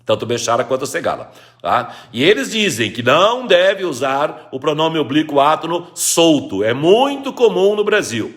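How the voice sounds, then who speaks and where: A man's voice: a little high, sing-song, normal speed, fairly bright tone. A man with a Brazilian Portuguese accent, teaching grammar in a live lesson, reading out viewers' questions and restating rules with emphasis. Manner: explains patiently, reads viewers' questions, repeats rules emphatically.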